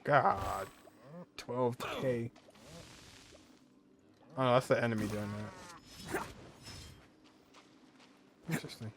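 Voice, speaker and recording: A man's voice: mid-range voice, big pitch swings, slow pace, creaky, long pauses, a little hiss or hum. A man giving casual playthrough commentary as a gaming streamer, with mild exclamations.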